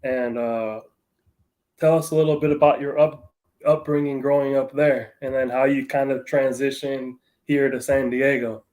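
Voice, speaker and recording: Flat-toned male interview host. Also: mid-range voice, normal speed. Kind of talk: casual interview hosting.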